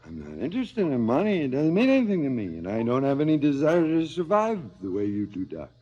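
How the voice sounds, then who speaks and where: An actor playing a cynical, philosophizing hired killer in a radio drama, sardonic and theatrical. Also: male voice, fairly high pitch, wildly swooping pitch, quick pace, mellow. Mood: bitterly amused. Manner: sardonic, theatrical, swinging from muttering to outbursts. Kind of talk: 1970s radio drama acting. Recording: slightly dull 1970s radio recording.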